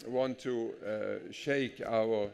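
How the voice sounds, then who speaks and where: Gravelly male interviewer. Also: on the low side, fairly even intonation, a little mellow. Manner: hesitant, measured questions with fillers. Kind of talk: conversational interview on stage. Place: public talk event.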